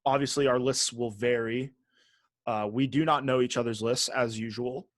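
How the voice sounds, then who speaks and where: A man's voice: medium pitch, fairly even intonation, moderate pace, no room sound, clean studio sound. A man co-hosting a podcast in casual, teasing chat, swearing freely. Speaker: podcast co-host.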